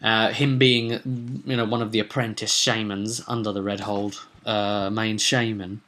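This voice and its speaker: Casting: male game master for an informal vlog, forceful bright tone, digressive and halting delivery. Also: mid-range voice. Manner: rambling, digressive, halting with self-corrections. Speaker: game master recapping his roleplaying sessions.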